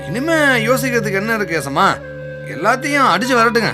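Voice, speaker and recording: A man playing a loyal, excitable servant in a Tamil radio drama, speaking deferentially and emphatically. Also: very high voice, fast talker, music in the background. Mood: deferential, indignant.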